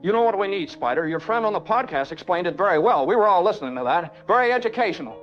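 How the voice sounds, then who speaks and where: Man voicing a high-pitched, taunting zombie caller. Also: lively intonation, fast talker, dull audio, faint music behind. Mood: taunting, hungry. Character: taunting male zombie caller, pained and cunning. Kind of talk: horror radio drama acting.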